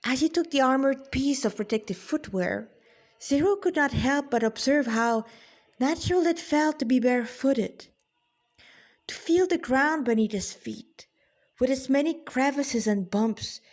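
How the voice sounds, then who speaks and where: Slow-paced woman reading fiction aloud. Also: sits high, animated. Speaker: author reading own story. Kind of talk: dramatic fiction reading with informal asides.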